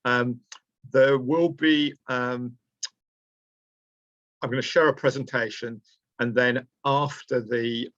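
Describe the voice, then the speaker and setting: A man's voice: mid-range voice, a bit bright, no echo. Hesitant Lancashire man hosting a webinar. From Preston, Lancashire, England. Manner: hesitant, with frequent ums and uhs. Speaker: presenter of a training webinar. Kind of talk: live online training presentation. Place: indoors, small room. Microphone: webcam on a video call. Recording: dull lo-fi video call audio.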